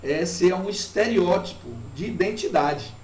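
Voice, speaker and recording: A man's voice: sits high, measured pace, darkish tone. A man from Bahia with a Bahian Brazilian accent giving a didactic, reflective academic lecture, often checking in with short tag questions. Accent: Bahian Brazilian Portuguese. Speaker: university professor. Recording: roomy, distant mic with faint noise.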